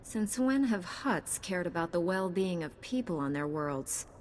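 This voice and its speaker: A woman's voice: on the low side, animated, measured pace, slight background noise. A woman playing a principled young Jedi knight in a video game, speaking firmly and resolutely. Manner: firm, resolute. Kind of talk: video game cutscene dialogue. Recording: long reverb, clear background ambience.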